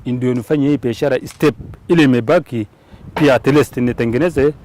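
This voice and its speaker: A man's voice: mid-range voice, fairly quick, a little mellow. A man giving a spontaneous interview testimonial as a business owner.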